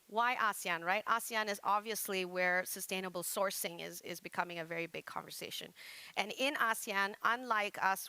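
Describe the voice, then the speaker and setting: A middle-aged woman from Malaysia giving a conference presentation, talking fast with lots of jargon, fillers and restarts. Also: medium pitch, pushed and bright, smooth, dry recording. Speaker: conference speaker.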